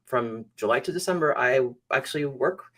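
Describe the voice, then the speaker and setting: A man's voice: mid-range voice, lively intonation, normal speed, fairly bright tone. A man from Venezuela, a cloud architect, giving short, friendly answers as a guest on a tech show. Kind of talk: casual interview.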